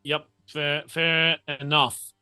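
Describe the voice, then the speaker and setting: A man narrating a tabletop roleplaying game as game master, haltingly piecing the scene together aloud. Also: a little high, little pitch movement, bright, forceful tone, clean tone, no room sound, studio quality, faint music behind.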